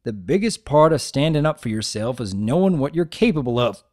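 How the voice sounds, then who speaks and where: A man's voice: medium pitch, big pitch swings, brisk, a little mellow, natural voice. A man voicing a kind, laid-back male farm pony with folksy, plain speech in a storybook reading. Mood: encouraging.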